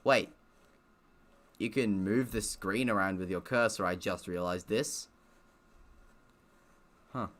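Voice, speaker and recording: A man with a fairly low, forceful voice streams a game. He talks casually, swears, jokes around and reads on-screen story text aloud as he comments. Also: slow, pauses often. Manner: sweary, joking. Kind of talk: casual gaming commentary, reading visual novel text aloud.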